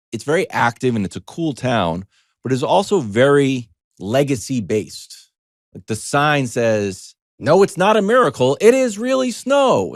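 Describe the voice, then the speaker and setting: A middle-aged man with a mid-pitched voice tells a story in the style of a sports talk monologue. His delivery is conversational and wry, animated as he acts out bits of dialogue. The sound is dry, with very little room in it. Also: no echo. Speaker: sports talk show panelist.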